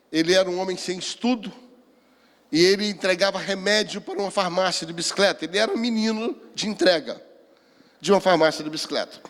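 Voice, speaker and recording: High-pitched older Brazilian man preaching, echoing hall. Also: fairly bright tone. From Rio de Janeiro, Brazil. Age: 60s. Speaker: pastor, seminar speaker. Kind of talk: sermon with personal testimony. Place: church hall, speaking to a seminar. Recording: reverberant hall.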